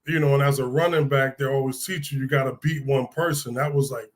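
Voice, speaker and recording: Relaxed rambling man in his sixties. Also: mid-range voice, fairly quick. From Hartford, Connecticut, USA. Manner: relaxed, rambling with many restarts and "uh"s. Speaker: guest, former football player. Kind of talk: casual interview storytelling.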